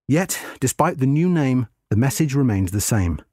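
A man narrating a scripted history article in a measured, formal read-aloud delivery. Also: medium pitch, very animated, a little mellow, no room sound, studio quality.

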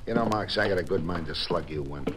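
A man's voice: low-pitched, fast, lightly acted. An American man in an old radio drama, playing a hot-headed, suspicious swindler who speaks curtly and threateningly. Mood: curt, hostile. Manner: curt, threatening. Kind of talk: 1940s-50s radio drama acting. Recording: old radio recording with background hiss.

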